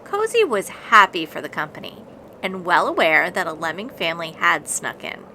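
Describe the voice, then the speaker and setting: A woman reading a children's picture book aloud in an expressive, deliberate storytelling manner. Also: lowish voice, a little hiss or hum, low-quality recording. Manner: deliberate, dramatic storytelling with acted voices. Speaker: storybook reader.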